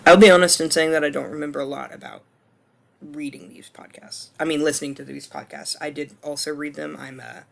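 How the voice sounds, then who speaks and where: A man in his twenties with a hoarse voice, talking through a course screencast reflection in a casual, hesitant way full of ums and restarts. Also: fairly high pitch, little pitch movement, a little fast, a bit bright. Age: 20s. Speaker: student.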